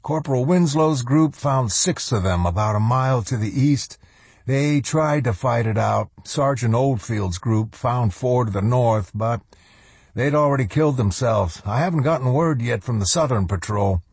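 A male narrator plays a dutiful soldier in a war story, his voice lifted well up from the reader's low register. He reports in a clipped, deferential way, with a husky edge and a dry, close sound. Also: lively intonation, moderate pace, darkish tone, no echo. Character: hard military subordinate man, dutiful, eager to report.

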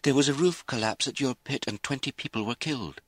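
A man's voice: mid-range voice, fairly quick, slightly rough, no room sound, pitched above the performer's own voice, close to an everyday voice. A man voicing an earnest, sympathetic clergyman in an audiobook, courteous and formal. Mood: apologetic. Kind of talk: audiobook character acting.

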